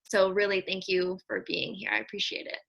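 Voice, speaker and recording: Woman, American accent, bright forceful voice, podcast host, effusive, affectionate, informal conversation. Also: mid-range voice, flat delivery, fairly quick, gravelly, muffled recording. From Nebraska, US. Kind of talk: casual conversational guest introductions.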